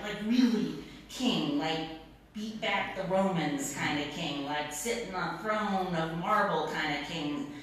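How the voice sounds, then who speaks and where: A middle-aged woman pastor preaching in a plain-spoken, informal storytelling way, pausing and repeating words for emphasis. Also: mid-range voice, a bit bright. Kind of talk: sermon, conversational storytelling. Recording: very echoey room, distant mic, noisy.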